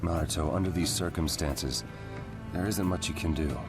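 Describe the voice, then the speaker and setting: An actor voicing an earnest, easily exasperated adult ninja officer in a video game dub. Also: male voice, deep voice, moderate pace. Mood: serious. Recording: music under the dialogue.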